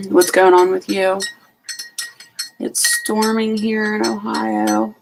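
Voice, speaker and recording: A woman's voice: mid-range voice, flat delivery, extremely slow, vocal fry, background noise. A sleepy woman hosting a late-night casual live stream from home, greeting each viewer by name. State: sleepy, just out of bed. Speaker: homestead channel host.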